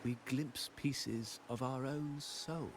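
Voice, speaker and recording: A man playing an elderly, courteous gentleman in a video game, musing in a formal, philosophical and gravelly voice. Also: medium pitch, fairly even intonation, slow. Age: older. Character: elderly cultured gentleman, courteous, musing, cryptic art lover. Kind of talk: scripted video game acting.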